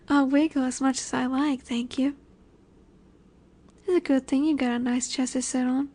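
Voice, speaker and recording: A woman acting as a cocky female werewolf alpha in a flirty audio roleplay. Her voice is high and she takes her time over each phrase. She taunts and teases, sure that she is in charge. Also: fairly even intonation, measured pace, darkish tone. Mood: seductive, teasing. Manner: teasing, taunting, confident.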